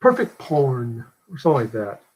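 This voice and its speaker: A man with a husky, slightly breathy voice chats with a livestream host. He talks in quick, casual bursts. His pitch stays in a middle range. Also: very animated, lo-fi sound. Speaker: guest on a call. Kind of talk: casual chat.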